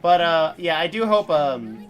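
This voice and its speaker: A man's voice: fairly high pitch, sing-song, measured pace. A man giving casual live commentary as a game streamer, rambling and self-deprecating. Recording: livestream with faint game music.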